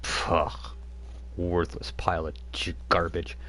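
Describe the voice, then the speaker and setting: A scornful vampire woman who has outlived centuries, as a man puts her on at the game table. Her voice sits very deep and dark, and she speaks with weary contempt for lesser creatures. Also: completely flat delivery, slow. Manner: contemptuous, brooding.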